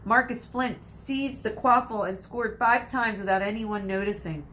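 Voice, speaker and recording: Female read-aloud storyteller, medium pitch, expressive and dramatic, slight background noise. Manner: dramatic emphasis, pauses for suspense.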